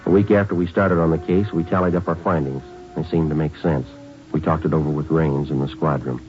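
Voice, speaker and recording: A dull vintage radio recording with music carries an American police sergeant. His voice is very deep. He is methodical and understated, delivering facts and questions in clipped, even sentences. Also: a little fast. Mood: matter-of-fact. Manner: clipped, matter-of-fact, understated. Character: calm, methodical male police sergeant and narrator. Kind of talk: 1950s radio police drama acting.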